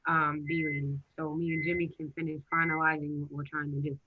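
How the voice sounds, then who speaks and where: A woman of nearly forty in a casual group chat, talking in a chatty, animated way. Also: sits low, brisk, phone-quality sound, a little hiss or hum. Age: 30s. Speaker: streamer.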